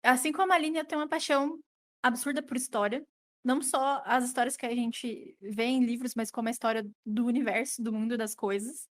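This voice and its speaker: A woman's voice: a little high, fairly even intonation, fairly quick. Wry, teasing woman in her thirties. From São Paulo, Brazil. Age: 30s. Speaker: podcast co-host. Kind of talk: casual podcast chat.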